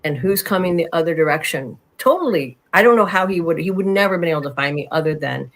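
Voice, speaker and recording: A woman from Chicago hosts a casual podcast chat, quick with exclamations and eager reactions. When she tells her own story she gets animated and vivid. Her voice sits a little low for a woman. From Chicago, USA. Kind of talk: casual chat and personal anecdote.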